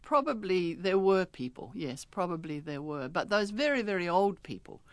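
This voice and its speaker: A woman's voice: fairly low pitch, very animated, normal speed, no echo. An older woman with a New Zealand accent, a retired linguistics professor talking chattily in a radio interview, laughing often and restarting words.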